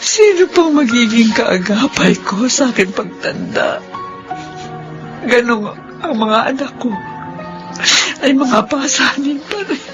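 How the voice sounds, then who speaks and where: A woman's voice: fairly high pitch, expressive, takes it slowly, pauses often. A woman playing a grieving, burdened middle-aged widow in a radio drama monologue. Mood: sorrowful, anxious. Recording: muffled, loud music behind.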